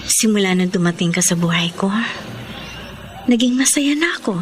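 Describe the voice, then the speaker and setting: A woman's voice: medium pitch, lively intonation, moderate pace, close to an everyday voice. An actress with a Filipino accent playing a devoted, tender young woman in love in a sentimental, emotional radio drama. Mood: tender, sweet. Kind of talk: Filipino radio drama acting with letter-style narration. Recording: radio drama with prominent music underneath.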